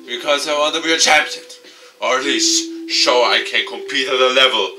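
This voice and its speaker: A man's voice: medium pitch, normal speed, bright, forceful tone, distant mic, voice pushed up, poor audio. Man acting an eager, awkward amateur gamer, music behind. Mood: earnest, embarrassed.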